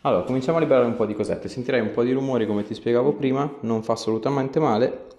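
A man, a chiropractor giving a patient short, gentle, repeated instructions. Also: mid-range voice, rapid-fire. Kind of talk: instructing a patient during treatment. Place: small indoor treatment room. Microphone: camera a few steps away. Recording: roomy echo, camera across small room.

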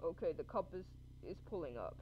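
Woman putting on a low, awkward policeman. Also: lively intonation, fairly quick, slight background noise, close to an everyday voice. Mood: flustered, calming. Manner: hesitant, blunt, full of ums. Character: awkward male police officer and dispatcher. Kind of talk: amateur improvised roleplay drama. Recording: muffled lo-fi audio.

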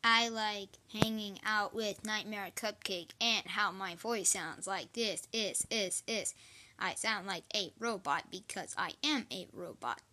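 Girl putting on a robot toy voice, flat and literal, homemade recording with some noise. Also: medium pitch, a little slow. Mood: matter-of-fact. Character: deadpan robot animatronic plush, literal and matter-of-fact.

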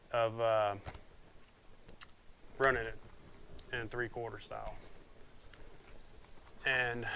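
Man, thirties, casual rambling vlog talk, mid pitch, lapel mic, faint hiss. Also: fairly bright tone. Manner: plainspoken, rambling, folksy. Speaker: motovlogger reviewing gear. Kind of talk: casual unboxing talk to camera. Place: small home room with shelves, indoors. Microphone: wireless lapel mic.

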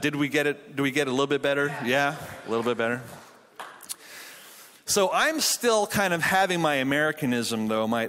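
A man's voice: fairly high pitch, fairly bright tone, a little hiss or hum. Chatty canadian man in a reverberant hall. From Quebec, Canada. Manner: chatty, self-deprecating, many restarts. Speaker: pastor and conference speaker. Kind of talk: conference sermon. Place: large church auditorium with stage. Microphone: handheld mic.

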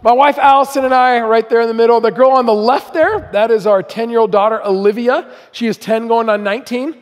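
Guy in his 40s preaching at church, kinda high voice, joking around and story-telling, hall rings behind him. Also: fairly even intonation, a little fast. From Iran, raised in Southern California. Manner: chatty, self-deprecating, many fillers. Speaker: guest speaker. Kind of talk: storytelling sermon. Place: church auditorium. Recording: long reverb of a large hall.

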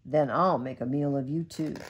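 A woman's voice: a bass voice, moderate pace, husky, voice pushed down. A woman voicing a sly, hungry old witch in a bedtime storybook reading, crooning and gloating.

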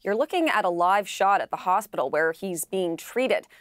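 A woman news anchor in a studio, introducing the story and handing over with brisk scripted lead-ins. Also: mid-range voice, lively intonation, clean tone, no echo.

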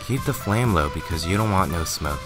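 A man's voice: low-pitched, expressive, a little fast, over music. A man playing a casual online cooking tutorial host, giving plain instructions in a scripted game story. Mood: instructional.

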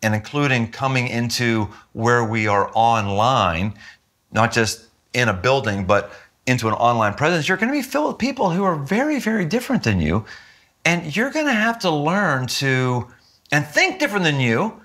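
An emphatic man in his fifties speaks as a pastor in a sit-down interview, his voice bright and forward. He stresses points with sudden lifts in pitch, recorded indoors in a quiet living room. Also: moderate pace. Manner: earnest, emphatic, with lively jumps in pitch when stressing points. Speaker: senior pastor.

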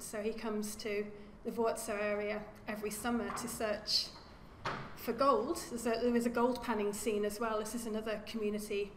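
A woman archaeologist giving an academic lecture at a lectern, speaking in a measured way and often repeating words as she corrects herself. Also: on the high side. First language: English. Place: lecture room. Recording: echoey lecture hall with faint hum.

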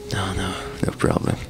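A man whispering softly in a teasing way, with breathy laughs, in an intimate ASMR roleplay.

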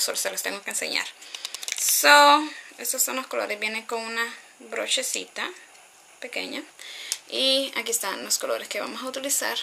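A woman's voice: mid-range voice, vocal fry, bright, forceful tone, a little hiss or hum. A woman in her thirties from Honduras, chatting casually through a makeup tutorial at a close webcam in a small bedroom, rambling with asides and dropping in English words. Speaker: beauty vlogger. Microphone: webcam at close range.

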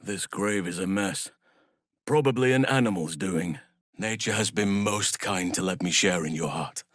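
Low-voiced, solemn male druid. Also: a bit bright, dry recording, clean studio sound. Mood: grave. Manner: measured, earnest, formal phrasing. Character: gentle, solemn male druid healer devoted to nature. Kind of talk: fantasy video game voice acting.

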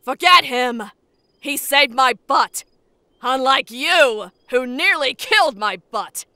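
Cartoon pirate captain played by a woman: tough, sardonic, fairly high, slow and deliberate, music underneath. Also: animated, bright, forceful tone, clean tone, no room sound, clean studio sound, heavily acted. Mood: angry. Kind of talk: motion comic voice acting. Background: background music.

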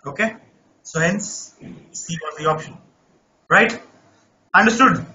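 A man runs a live online math class in a high, sharply bright voice. He coaxes students along, checks in with them again and again, and sounds a little off-voice. The audio is dull and lo-fi, with faint noise behind him. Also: slow pace. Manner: coaxing, repeats checks like "right?". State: voice not normal, says he lost his voice. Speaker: math teacher.

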